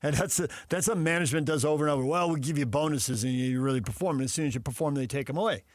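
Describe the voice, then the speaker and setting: A man's voice: on the high side, racing, dry recording. A man hosting sports talk radio in a casual conversational style, close on a studio mic. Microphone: close studio microphone.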